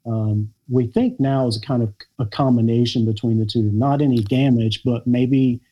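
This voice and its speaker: An American man, a podcast guest, telling a personal family story in a halting, reflective way with many pauses and fillers. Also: mid-range voice, steady tone, lo-fi sound. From United States. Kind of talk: podcast interview conversation.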